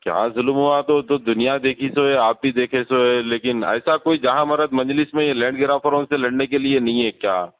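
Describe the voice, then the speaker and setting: A man's voice: mid-range voice, steady tone, normal speed, hardly any pauses. A man with a Hyderabadi accent on a recorded phone call, arguing insistently and in an accusatory way. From Hyderabad, India. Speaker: caller. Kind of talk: argumentative phone conversation. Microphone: telephone.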